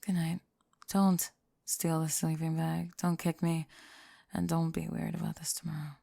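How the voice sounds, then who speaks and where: A woman playing a prickly but secretly affectionate tomboy friend in an ASMR roleplay, sleepy and often whispering, deadpan and grudgingly tender. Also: deep voice, a little slow, vocal fry, dry recording, pitched below the performer's own voice, heavily acted. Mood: drowsy, tender. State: drowsy. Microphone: close ASMR microphone.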